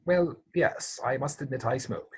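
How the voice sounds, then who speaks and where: A man playing a nervous, lovestruck gentleman suitor in a theatrical period comedy, hesitant and stammering. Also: medium pitch, unhurried, husky. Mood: flustered, hesitant.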